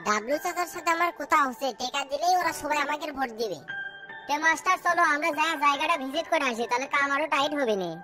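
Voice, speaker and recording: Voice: high voice, quick pace, music in the background, natural voice. A performer voicing scheming, boastful small-town political men in a cartoon comedy, blustering colloquially.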